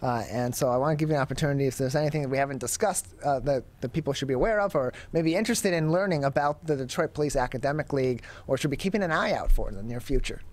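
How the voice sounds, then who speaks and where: A man's voice: medium pitch, racing, hardly any pauses. A young man hosting a broadcast interview show, speaking into a studio microphone. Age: young adult. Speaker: show host. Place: TV studio with curtain backdrop. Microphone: studio mic on a boom arm.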